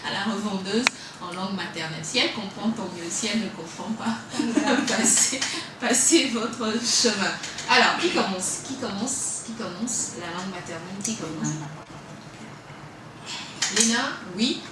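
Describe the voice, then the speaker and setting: A woman hosts a morning radio chat in a loose, halting way, with plenty of fillers. Her voice sits in the middle of the range for a woman. It is caught from a distance in a very echoey room, with steady noise behind her. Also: mid-range voice. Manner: chatty, hesitant with frequent fillers. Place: web radio studio. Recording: echoey room from far off, noisy.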